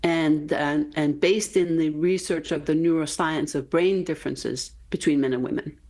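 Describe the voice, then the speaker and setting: A woman's voice: deep voice, fairly even intonation, normal speed, a little mellow. A woman in her fifties with a Danish accent, speaking earnestly as an author guest in a TV interview, often tagging her points with "right?". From Denmark. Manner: earnest, emphatic, frequent "right?" tags.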